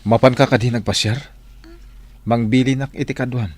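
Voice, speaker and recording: An actor playing a steady, dutiful, reluctant restaurant employee in an Ilocano Filipino radio drama. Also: male voice, medium pitch, normal speed, lightly acted. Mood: firm, reluctant.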